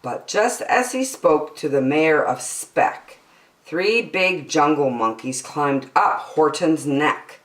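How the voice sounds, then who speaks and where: A woman narrating a children's storybook expressively, with measured, dramatic phrasing. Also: deep voice, lively intonation, roomy sound, far from the mic, poor audio. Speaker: storytime host, reader. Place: indoors, book filmed on a tabletop.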